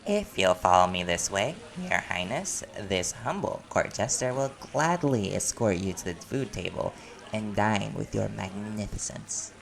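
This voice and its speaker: A man's voice: lowish voice, animated, a little slow, vocal fry, rough recording. An actor playing a playful, caring man in a chatty, teasing audio roleplay conversation. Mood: silly, theatrical. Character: silly, playful, caring man at a community party.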